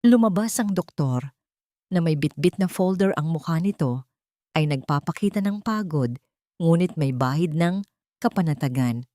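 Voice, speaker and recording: A woman storyteller reads a dramatic audio tale in measured, solemn phrases. Her voice sits on the low side. The recording is dry, with no room sound. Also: expressive, mellow, dry recording.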